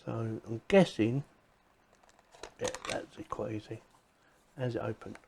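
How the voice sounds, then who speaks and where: A man with a soft, mellow voice talks through a gadget in an informal hands-on style. He sounds like he is thinking aloud, chatting casually to the viewer as he works things out. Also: lowish voice, fairly even intonation, measured pace, creaky, a little hiss or hum. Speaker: video presenter reviewing gadgets. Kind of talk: casual hands-on unboxing commentary.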